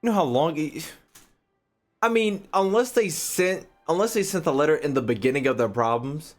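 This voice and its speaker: A man's voice: fairly high pitch, animated, moderate pace, faint music behind. A man streaming a game with casual, slangy, profane and joking reaction commentary. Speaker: gaming streamer.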